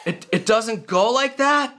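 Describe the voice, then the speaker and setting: A man's voice: very high voice, lively intonation, unhurried, pushed and bright. A man talking casually and playfully to children as a musician guest on their show.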